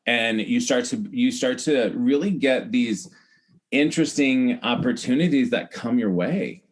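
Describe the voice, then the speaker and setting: A man speaking as a podcast guest in a casual, earnest, explanatory way, often halting to correct himself. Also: medium pitch. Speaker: podcast guest, celebrity hair stylist. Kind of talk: casual conversational interview.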